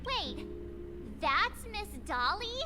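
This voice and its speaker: Casting: bubbly teen girl heroine, extremely high pitch, animated and dramatic, game music underneath. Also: drawn-out words, exaggerated voice. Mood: indignant. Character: bubbly, boastful teenage schoolgirl heroine, excitable and dramatic. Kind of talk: anime game voice acting.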